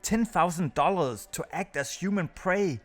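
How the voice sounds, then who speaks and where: A man with a fairly high voice hosts a scripted countdown review. He narrates as a video presenter, with music playing underneath. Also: expressive, unhurried.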